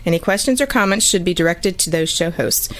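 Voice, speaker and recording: A woman reading a formal station disclaimer in a brisk, businesslike way. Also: fairly low pitch, lively intonation. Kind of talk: read legal disclaimer.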